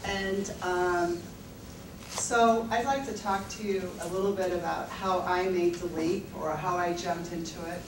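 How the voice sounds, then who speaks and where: A woman's voice: on the low side, steady tone, unhurried. A woman in her fifties from the Netherlands, a financial advisor telling her personal story to an audience at a university event, candid, laughing often and using many fillers. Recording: echoey room, mic at a distance, background noise.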